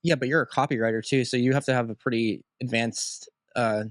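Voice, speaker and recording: A man's voice: mid-range voice, fairly even intonation, a little fast, dry recording, studio quality. A man hosting a podcast, asking long rambling questions full of "like" fillers in a casual tone. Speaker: podcast host.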